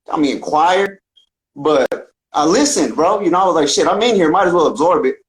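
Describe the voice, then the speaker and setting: A man's voice: a little high, animated, normal speed, poor audio. A man from the Bay Area with a Northern California accent, telling his story casually and earnestly in loose street slang. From San Francisco Bay Area, United States. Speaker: podcast guest, talkbox musician.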